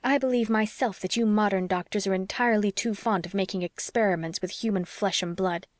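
A woman's voice: mid-range voice, brisk, dry recording, clean studio sound, natural voice. A woman voicing a passionate, protective young wife who argues in an emphatic, pleading way, as in an audiobook. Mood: argumentative. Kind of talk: audiobook character dialogue.

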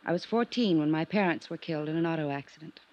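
A woman's voice: sits low, quick pace, natural voice. An actress playing a lonely, wistful and guarded young woman in a 1950s radio drama. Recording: noisy, muffled 1950s radio recording.

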